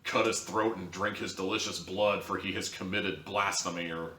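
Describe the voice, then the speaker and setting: Low-voiced man in an echoey room. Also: steady tone, moderate pace, pushed and bright, distant mic, slight background noise. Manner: sarcastic, blunt, swearing. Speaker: online audio drama reviewer. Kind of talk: casual opinionated review commentary.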